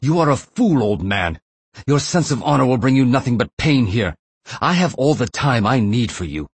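A menacing young Russian interrogator acted out by a male narrator in a thriller audiobook. The voice is low and husky, full of scorn and frustration, in a very dry recording. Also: a little mellow, dry recording. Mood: angry shouting. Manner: scornful, rhetorical, drawn-out taunting.

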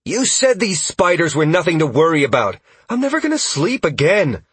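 Man acting fiery female adventurer, higher pitch, sarcastic, sharp, exasperated, fantasy audiobook. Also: slow, breathy edge, dry recording, exaggerated voice. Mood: outraged.

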